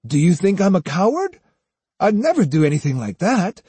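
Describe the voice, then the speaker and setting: A man's voice: high-pitched, huge pitch swings, moderate pace, dark tone, slightly rough, no room sound, voice pushed up, heavily acted. A man voicing a plucky, indignant young boy with an earnest, defiant way of talking in an audiobook. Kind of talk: audiobook character voice.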